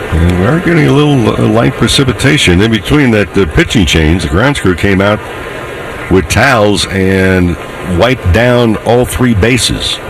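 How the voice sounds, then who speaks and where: A man's voice: fairly low pitch, lively intonation, unhurried, creaky, background noise. A man with an American accent working as a baseball radio broadcaster, giving commentary and reading promos.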